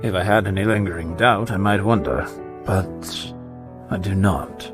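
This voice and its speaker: A man plays a devoted, composed companion in an audio drama. His fairly low voice is formal, measured and earnest, with music clearly underneath. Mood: earnest devotion.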